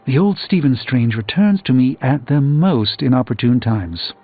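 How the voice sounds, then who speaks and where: A proud, middle-aged video game sorcerer, weary and eloquent. His phrasing is measured and formal with a dry wit, in a mid-range voice. The sound is slightly muffled, with music underneath. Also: mid-range voice, animated. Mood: reflective, humbled. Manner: measured, formal, erudite, dryly wry. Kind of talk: theatrical video game character dialogue.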